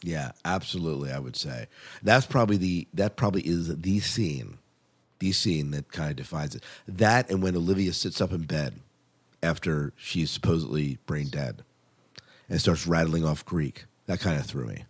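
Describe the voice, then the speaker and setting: A man's voice: very deep voice, lively intonation, moderate pace, breathy edge. A man hosting a podcast, chatting casually and enthusiastically about television as a keen fan. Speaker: podcast host. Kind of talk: casual TV review chat.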